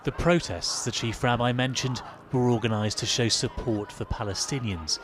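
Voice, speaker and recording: A man giving a measured, serious television news report as the reporter. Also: medium pitch. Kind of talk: scripted news report and live studio analysis.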